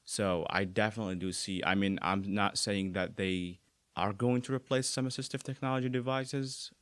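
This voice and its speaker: A young man, a native Arabic speaker with an Arabic accent, talking casually as an interview guest, relaxed and full of fillers and restarts. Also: on the low side, normal speed, dry recording. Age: young adult.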